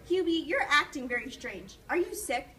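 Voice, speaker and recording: A woman's voice: high-pitched, big pitch swings, moderate pace, fairly bright tone, a little hiss or hum. A woman voicing a caring, patient mother in a children's storybook read aloud, recorded at a distance from the mic.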